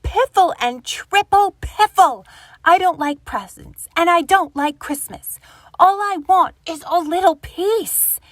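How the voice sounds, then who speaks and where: A woman's voice: very high voice, slow pace, dry recording, voice pushed up. A woman voicing a grumpy, blustering male badger in a children's storybook, huffing and spluttering. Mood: exasperated, shouting.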